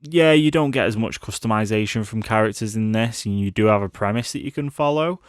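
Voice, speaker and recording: A man's voice: fairly low pitch, a little fast, smooth, no room sound, clean studio sound. A British man co-hosting a podcast, chatting casually and informally about films. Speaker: podcast co-host. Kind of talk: casual film review chat.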